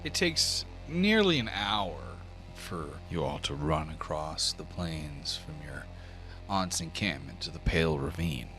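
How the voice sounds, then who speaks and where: A man's voice: a bass voice, sing-song, a little slow, bright, forceful tone. A man narrating a tabletop role-playing game as the game master. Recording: music under the voice.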